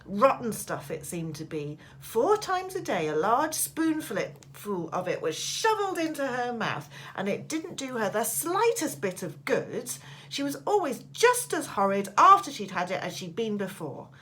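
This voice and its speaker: A woman's voice: a little high, big pitch swings, moderate pace, bright, forceful tone, a little hiss or hum. A woman teacher reading a children's storybook aloud with dramatic, emphatic expression. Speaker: primary school teacher.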